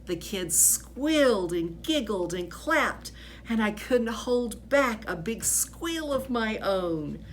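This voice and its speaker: A woman reading a children's book aloud as expressive narration, with animated delivery and drawn-out emphasis on key words. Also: on the low side, slight background noise, rough recording.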